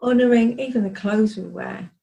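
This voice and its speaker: A woman's voice: medium pitch, moderate pace, creaky, dark tone. A woman hosting a podcast interview, chatty and encouraging as she introduces and talks with her guest. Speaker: podcast host.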